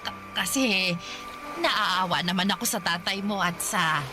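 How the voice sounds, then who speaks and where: A woman playing a weary, gentle old grandmother who speaks hesitantly and softly in a radio drama. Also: medium pitch, unhurried, music in the background, lightly acted. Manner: hesitant, soft-spoken, pleading.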